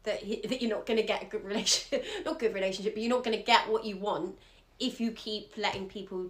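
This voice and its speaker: A woman's voice: mid-range voice, steady tone, quick pace. A woman giving casual advice as an astrologer guest, informal and often checking in with tag questions. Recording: roomy echo, faint background noise.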